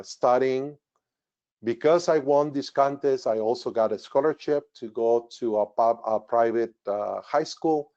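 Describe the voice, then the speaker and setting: A man's voice: mid-range voice, warm tone, clear, resonant tone. A man in his sixties with a Mexican Spanish accent, a native Spanish speaker who grew up in northern Mexico, giving an earnest, explanatory webinar talk as a retired engineer, with frequent fillers. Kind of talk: presentation talk over slides. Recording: dull lo-fi webinar audio.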